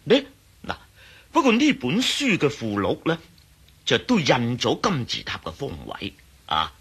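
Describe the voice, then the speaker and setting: An actor playing a talkative, cautious archaeology professor in a radio drama, with a Hong Kong Cantonese accent and hesitant, muttering delivery. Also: male voice, fairly high pitch, huge pitch swings, a little slow, fairly bright tone, breathy edge, exaggerated voice. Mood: explaining, musing. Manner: hesitant, mumbling fillers, explanatory.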